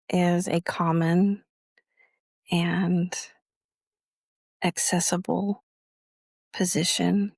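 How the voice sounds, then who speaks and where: A woman teaching guided meditation in a measured, instructional way, leaving long pauses between phrases. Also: on the low side, creaky, darkish tone, slightly rough, dry recording.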